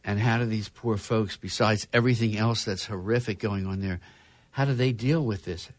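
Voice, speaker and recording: A man's voice: lowish voice, moderate pace, dry recording. Chatty man with a dry studio sound. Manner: chatty, reads news stories aloud with wry asides. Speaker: podcast host. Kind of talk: casual chat.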